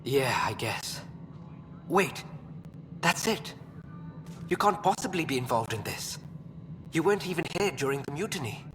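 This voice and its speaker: A man playing a wary, halting station technician in a video game. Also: fairly high pitch, animated, music in the background. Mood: anxious, guarded. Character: wary male station technician, paranoid about sabotage. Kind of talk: video game character dialogue. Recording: reverberant hall with noisy ambience.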